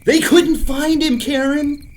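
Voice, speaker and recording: A man's voice: very high voice, steady tone, takes it slowly, slight background noise. An actor playing a cocky young man, a theatrical, easily exasperated camp counselor telling campfire stories in an audio drama.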